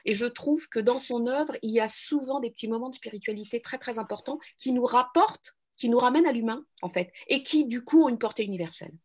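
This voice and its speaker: A woman's voice: on the high side, quick pace, hardly any pauses, darkish tone, breathy edge. Middle-aged french woman, radio guest, earnest, stumbles and restarts a lot. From France. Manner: earnest, hesitant with many restarts. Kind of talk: radio interview.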